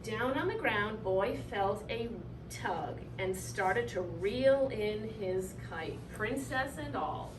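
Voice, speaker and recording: A woman reading a children's story aloud in an expressive, animated way, drawing out key words for effect. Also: mid-range voice, slow. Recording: roomy, distant mic, clearly noisy.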